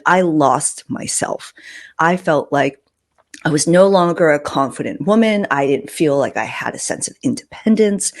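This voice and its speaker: Candid woman telling casual personal stories. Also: low voice. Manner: candid, informal, swears freely. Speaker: podcast host.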